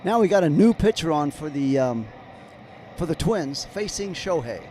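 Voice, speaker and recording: High-voiced man calling baseball play-by-play. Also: animated, normal speed, warm tone, slight background noise. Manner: describes each pitch as it happens. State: slightly hoarse, clearing throat. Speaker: play-by-play commentator. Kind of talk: live play-by-play commentary.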